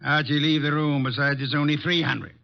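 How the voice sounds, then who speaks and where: Huge irritable genius detective, raised voice, pompous orders, dull old radio recording. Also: male voice, a little high, fairly even intonation, brisk, vocal fry. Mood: gruff command. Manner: commanding, pompous.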